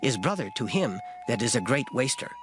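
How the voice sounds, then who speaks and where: A man giving a dramatized Bible reading in a solemn, measured, declamatory manner. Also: mid-range voice, sing-song. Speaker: scripture reader. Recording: plucked guitar music behind voice. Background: plucked string music.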